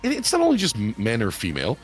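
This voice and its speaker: A man in his late thirties, co-hosting a podcast in casual chat, animated and profane, often asking "you know what I'm saying". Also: medium pitch, racing, faint music behind. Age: 30s. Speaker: podcast co-host.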